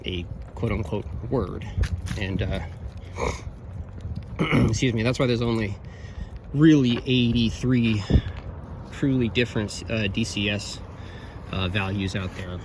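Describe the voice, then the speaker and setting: A man with a fairly low voice talks through gear in an informal, explanatory review. He speaks haltingly and technically, piecing it together unscripted. Clear traffic and outdoor noise sits behind him. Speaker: radio equipment reviewer.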